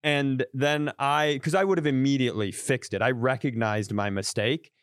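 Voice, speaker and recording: A man's voice: medium pitch, normal speed, clear, resonant tone, no room sound, clean studio sound. A man co-hosting a podcast over a video call, telling a self-deprecating story in a rambling, casual way with lots of restarts. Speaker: podcast co-host. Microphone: podcast mic over video call.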